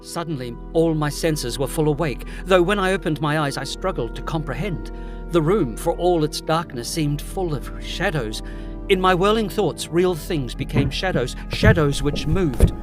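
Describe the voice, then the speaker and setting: An actor playing an uneasy, impressionable gentleman, giving brooding first-person narration in a gothic audio drama. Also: on the high side, lively intonation, moderate pace, music in the background, close to an everyday voice. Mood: haunted, brooding. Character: uneasy, impressionable gentleman recounting eerie nights.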